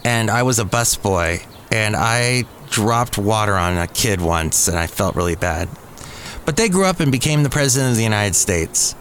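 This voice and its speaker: A man's voice: on the low side, expressive, normal speed, fairly bright tone, a little hiss or hum. Rambling middle-aged male podcast host. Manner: digressive, self-correcting storyteller, chuckles at himself. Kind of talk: casual rambling monologue. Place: café, live podcast. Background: faint café ambience.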